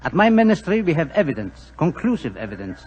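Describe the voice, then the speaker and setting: A grave police minister. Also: male voice, fairly high pitch, big pitch swings, fast talker, darkish tone, husky, dull audio. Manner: solemn, formal. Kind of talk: 1940s radio acting.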